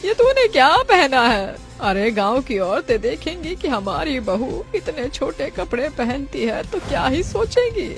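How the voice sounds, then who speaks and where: A woman voicing a fussy middle-aged village mother-in-law in a family cartoon, with drawn-out exclamations and nagging questions. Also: high voice, sing-song, faint music behind, full character voice. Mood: scolding. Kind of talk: Hindi family cartoon voice acting.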